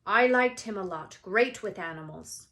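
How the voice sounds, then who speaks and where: A woman voicing a big, gruff, friendly gamekeeper man with a blunt, rustic, hearty manner in a storybook read-aloud. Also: medium pitch, animated, normal speed, fairly bright tone. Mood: brusque, friendly.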